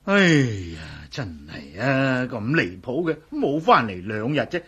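An actor playing a fretful, grumbling old household servant in a Cantonese radio drama, with a husky voice, fussy stammering delivery and a Hong Kong accent. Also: male voice, medium pitch, very animated, measured pace, bright, forceful tone, muffled recording, full character voice.

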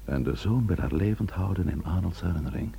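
A police investigator in a radio play. The voice is very deep, creaky and dark, putting terse questions quietly over faint noise. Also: male voice, expressive, fairly quick, exaggerated voice. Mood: quiet, probing. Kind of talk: radio drama acting.

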